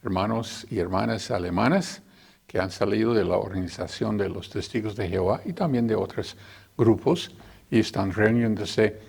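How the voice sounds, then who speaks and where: Deep gravelly husky man interviewing. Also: normal speed, a little mellow. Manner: gives constant short backchannel acknowledgements. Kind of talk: interview hosting.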